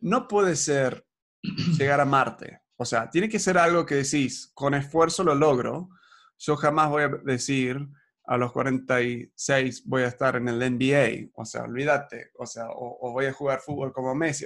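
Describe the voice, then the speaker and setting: A man's voice: mid-range voice, fairly bright tone. A man, coaching in a casual chat, upbeat and peppered with fillers. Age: 40s.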